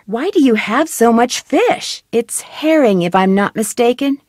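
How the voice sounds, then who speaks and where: A young woman sleuth, curious and wry, with questions that keep coming. Her voice sits a little high and moves a lot in pitch. The studio recording is close and dry. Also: no echo. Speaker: game character. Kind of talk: studio game voice lines. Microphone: close studio mic.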